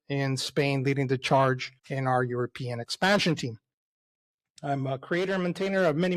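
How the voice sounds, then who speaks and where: A middle-aged man from El Salvador, a company CEO, giving a casual, enthusiastic webinar presentation with frequent fillers and "right?" asides. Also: on the high side.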